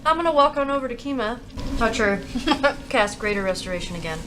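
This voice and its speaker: A woman's voice: on the high side, animated, a little fast, background noise. A woman chatting casually as a player in a tabletop game.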